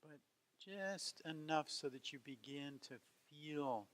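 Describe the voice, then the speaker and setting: A man leading a guided meditation as a teacher, speaking gently in unhurried phrases with long pauses between them. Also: on the high side.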